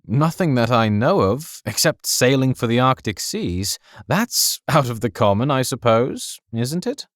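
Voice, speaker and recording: An actor playing a cheerful, gallant young Victorian naval officer with a hearty, tender, theatrical manner in an audiobook drama. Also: male voice, medium pitch, big pitch swings, a little slow, hardly any pauses, no room sound, studio quality. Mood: amused bafflement.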